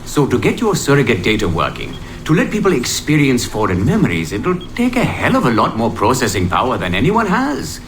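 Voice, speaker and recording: A man's voice: mid-range voice, wildly swooping pitch, brisk, few pauses, fairly bright tone. A man playing a cautious, level-headed lab researcher in naturalistic audio drama, with a wry, cautionary way of talking. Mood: explaining. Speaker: research colleague. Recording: audible background ambient noise.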